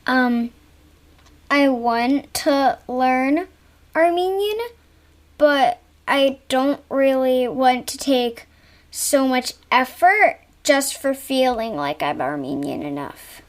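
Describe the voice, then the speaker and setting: Young daughter guest, high voice, slow and halting. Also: female voice, expressive. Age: young, child. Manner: hesitant, searching for words. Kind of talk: candid conversation with her mother.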